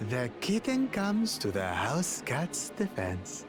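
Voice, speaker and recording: Slow, sneering reptilian warrior. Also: male voice, on the high side, huge pitch swings, music in the background, full character voice. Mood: cold disdain. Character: menacing, disdainful reptilian warrior, one head of two brothers. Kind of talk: video game voice acting.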